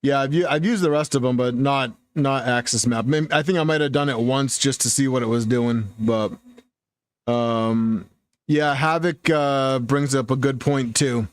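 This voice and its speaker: A man's voice: medium pitch, moderate pace, clean tone, no room sound. Guy hosting a live tech stream, super dry close mic, easygoing, keeps restarting sentences. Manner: relaxed, talks through thoughts with restarts. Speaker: livestream host. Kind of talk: casual live tech chat.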